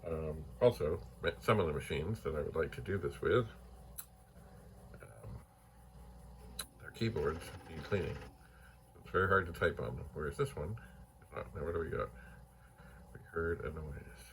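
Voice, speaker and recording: A soft-spoken man casually thinking aloud while testing old computer gear in his home computer room, trailing into whispered asides. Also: very deep voice, expressive, moderate pace, gravelly, pauses often, noisy background. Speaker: retro computer vlogger. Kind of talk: casual rambling narration while testing hardware. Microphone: phone mic.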